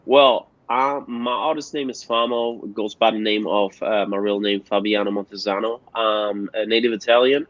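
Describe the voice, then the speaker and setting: A man from Germany, a guest musician answering interview questions haltingly with many fillers over a remote call. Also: on the low side, steady tone, no echo. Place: at home in Germany, joining remotely. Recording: dull, dry remote call audio.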